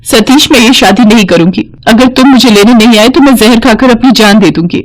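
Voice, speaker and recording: A woman's voice: on the high side, fairly quick, faint music behind, close to an everyday voice, rough recording. A woman playing a headstrong, wronged young wife and mother in an emotional, melodramatic cartoon story. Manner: emotional, pleading.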